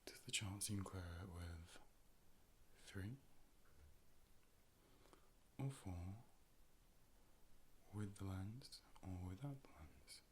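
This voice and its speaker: A man speaking in a soft, measured, often whispered ASMR style, playing a calm and polite optician in a close personal-attention role play. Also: deep voice, slight background noise. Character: calm, polite robot A.I. optician conducting an eye exam. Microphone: close ear-to-ear stereo microphone.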